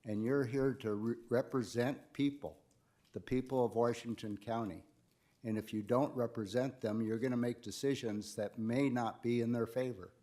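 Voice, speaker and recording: A man's voice: medium pitch, fairly even intonation, normal speed, mellow. A man giving an earnest, admonishing public comment to county officials.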